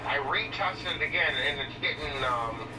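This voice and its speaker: Higher-voiced man on noisy radio. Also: lively intonation, moderate pace. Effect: transmitted through walkie-talkie app. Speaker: caller reporting on a repair. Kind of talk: casual step-by-step account. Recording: noisy, distant radio transmission.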